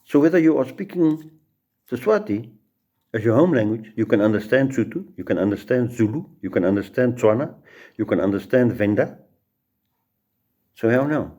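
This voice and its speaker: A man's voice: medium pitch, expressive, moderate pace, long pauses, mellow. A middle-aged man with a South African Afrikaans accent, a judge giving an informal law lecture full of courtroom stories and restarts. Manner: storytelling, repeats words and restarts.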